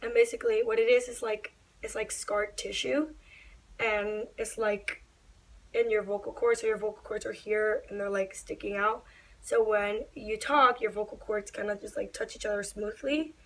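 A young woman vlogging to camera in a small bedroom, talking earnestly and openly, her voice slightly raspy and breathy. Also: mid-range voice, steady tone, normal speed, a bit bright, low-quality recording. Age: young adult. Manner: earnest, open, reassuring. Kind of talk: personal vlog talk to camera.

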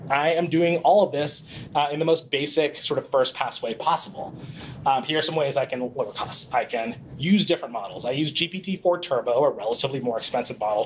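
A man in his thirties giving a live presentation in a small conference room, talking casually with many fillers and quick self-corrections, into a handheld mic picked up by a distant camera. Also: mid-range voice, slight background noise. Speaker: conference speaker. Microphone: handheld mic, far camera.

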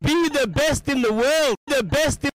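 A young man in his twenties, a football fan speaking emphatically and deliberately to camera in the street. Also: very high-pitched, no echo. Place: outdoors in a busy street.

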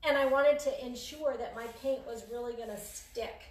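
A middle-aged woman chatting casually to a live stream while working, unhurried and trailing off as she demonstrates a craft. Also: on the high side. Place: indoor craft paint shop. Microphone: phone or camera a few feet away. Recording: roomy echo, clear noise, clicks.

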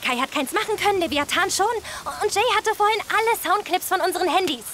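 A woman's voice: extremely high pitch, lively intonation, quick pace, bright, forceful tone, slight background noise, heavily acted. A young woman acting a determined, torn teenage schoolgirl in an audio drama, earnest and urgent. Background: music.

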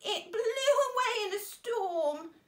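A woman doing a very high, bleating goat. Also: expressive, takes it slowly, roomy sound, exaggerated voice. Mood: plaintive. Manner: very high and plaintive. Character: upset goat on a boat, bleating.

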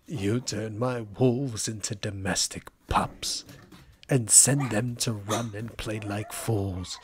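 A man voicing a proud, needy, menacing ancient forest entity in an ASMR roleplay, breathy and husky, with theatrical, formal diction. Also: fairly low pitch, steady tone, slow, background noise. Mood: menacing, offended. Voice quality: breathy, husky, often dropping toward a whisper.

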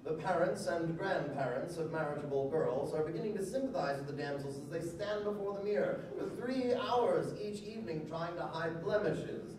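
A man's voice: on the high side, normal speed, hardly any pauses. A middle-aged man giving a melodramatic, mock-pleading comic reading of old satire on stage. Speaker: reader at a live literary reading. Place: museum lecture hall with live audience. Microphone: stand microphone on stage, distant camera recording. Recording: very echoey hall, distant, audience noise.

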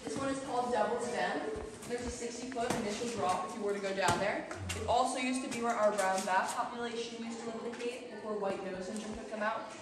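A young woman guiding a cave tour, explaining the formations to a group in a measured, informative way. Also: on the high side, fairly even intonation, background noise. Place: large cave, indoors. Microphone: far camera held by a visitor. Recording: echoey cave recorded from far off.

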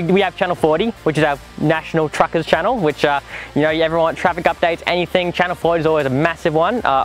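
A man in his twenties with an Australian accent, casually explaining to camera as a vlog host outdoors. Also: on the high side. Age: 20s. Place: outdoors in dry bush clearing beside a 4WD.

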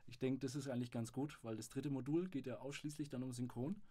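A man hosting a casual podcast interview, friendly and quick to laugh. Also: medium pitch, steady tone, mellow.

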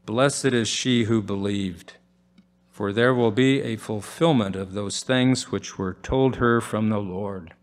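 An older man, around his seventies, preaching in a measured, formal way as he reads from a script at a church pulpit microphone. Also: lowish voice. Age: older, about 70s. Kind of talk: sermon read from a script, with scripture readings. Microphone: pulpit microphone on a gooseneck stand.

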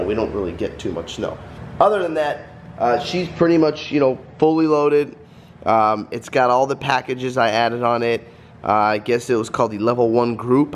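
A man in his thirties with a cold, chatting casually to viewers while filming himself in his home garage. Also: medium pitch. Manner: rambling, chatty, addresses viewers as guys. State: stuffed up from a cold. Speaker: vlogger. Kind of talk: casual vlog talk. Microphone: handheld vlog camera.

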